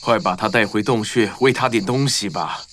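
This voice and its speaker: Man playing a calm, authoritative male wolf. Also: fairly low pitch, normal speed, close to an everyday voice. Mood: decisive. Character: steady, responsible male wolf father and elder. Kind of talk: children's cartoon story voice acting.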